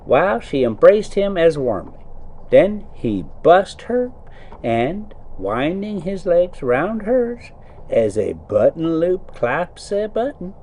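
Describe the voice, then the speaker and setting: A man with a soft-edged, mellow voice reads aloud at an unhurried pace. His pitch moves around a lot as he shifts between theatrical storytelling and casual, colloquial commentary. He is recorded in a motorhome cabin on the road. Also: sing-song, a little hiss or hum. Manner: folksy, colloquial, theatrical when reading. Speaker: vlog host reading a story aloud while driving. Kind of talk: casual story reading with reactions and asides. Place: inside a motorhome being driven.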